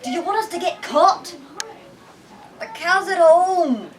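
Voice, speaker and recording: A woman voicing rough, taunting village boys in a storybook reading, growling and jeering loudly. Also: very high-pitched, slow pace, voice pushed up. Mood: urgent, growling. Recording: distant microphone, audience noise.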